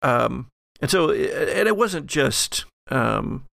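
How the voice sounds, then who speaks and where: A man acts out an earnest, bookish occult scholar in a horror audio game. His mid-pitched voice is heavy with creak, and he speaks haltingly and modestly in a dry, close recording. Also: sing-song, fairly bright tone, no echo, full character voice. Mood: hesitant, confiding. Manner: halting, deliberate, self-effacing. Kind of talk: improvised in-character actual-play roleplay.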